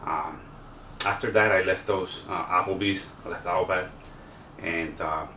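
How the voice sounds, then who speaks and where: Deep-voiced man, halting and roomy. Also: steady tone, pushed and bright, slight background noise. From El Salvador. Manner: halting, many fillers. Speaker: contestant. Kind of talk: personal interview. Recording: roomy sound from a distance.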